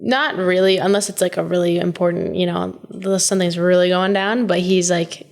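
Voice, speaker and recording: A woman's voice: lowish voice, fairly even intonation, fairly quick, gravelly, darkish tone. A woman, a podcast guest chatting easily and filling her sentences with "like". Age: 20s. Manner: easygoing, laughs often, filler-heavy. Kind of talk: casual chat.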